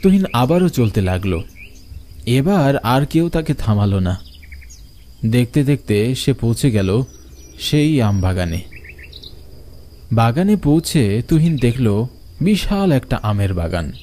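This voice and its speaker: A man's voice: medium pitch, big pitch swings, takes it slowly, long pauses, dark tone. A man narrating a scripted horror story.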